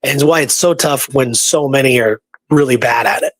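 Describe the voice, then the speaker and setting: A man's voice: medium pitch, fairly quick. A man co-hosting a casual talk show about research, telling things conversationally and often checking in with the listener. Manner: animated storyteller, often tags points with "right?". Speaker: show co-host and history researcher. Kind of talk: casual chat.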